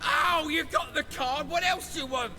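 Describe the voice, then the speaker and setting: A man's voice: extremely high pitch, normal speed, sharp tone, faint music behind, heavily acted. An actor playing a wretched, desperate male townsman in a fantasy video game, crying out and pleading. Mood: pained desperation.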